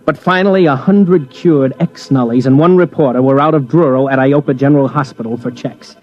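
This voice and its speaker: Radio drama lead, male actor as wry American newsman, mid-pitched, terse narration, dull old broadcast sound. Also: brisk, lightly acted. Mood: wry, matter-of-fact. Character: hard-bitten male newspaper reporter recounting his big story. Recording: muffled old radio recording, faint music.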